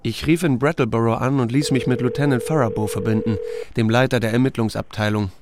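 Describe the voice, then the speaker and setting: An actor playing a dry, steady small-town sheriff, narrating and talking in a radio drama. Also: male voice, mid-range voice, fast talker, heavy background noise, lightly acted. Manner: dry, understated. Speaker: narrator and lead character.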